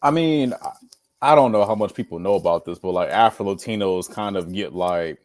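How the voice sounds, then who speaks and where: Low-voiced laid-back man co-hosting. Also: brisk, no room sound. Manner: laid-back, joking, lots of "like". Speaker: podcast co-host. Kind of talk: casual chat.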